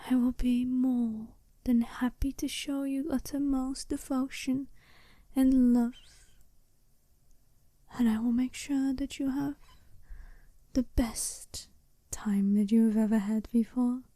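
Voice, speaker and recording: Woman playing a slow, soft, mellow seductive werewolf bride. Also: high voice, fairly even intonation, no echo. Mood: alluring, challenging. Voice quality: soft-spoken, breathy half-whisper. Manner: slow, teasing, deliberate, intimate. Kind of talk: ASMR roleplay.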